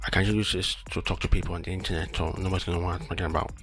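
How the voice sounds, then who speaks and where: A man's voice: deep voice, level tone, racing, fairly bright tone, soft background music. A man with a lisp who stutters and restarts words, rambling casually and self-deprecatingly as a streamer. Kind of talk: casual solo chat while testing mic settings.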